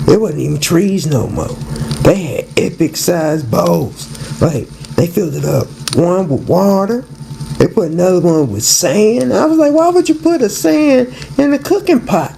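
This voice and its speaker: A man's voice: a little high, creaky, noisy background. A man giving a casual, rambling spoken monologue in slang, often repeating words and restarting phrases. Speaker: narrator and storyteller.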